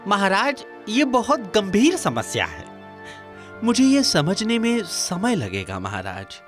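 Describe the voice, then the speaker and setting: Swooping, slow royal minister voiced by a woman. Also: medium pitch, wildly swooping pitch, faint music behind. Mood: grave concern. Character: grave, deferential male royal minister in a folk tale. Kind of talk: dramatised cartoon storybook dialogue.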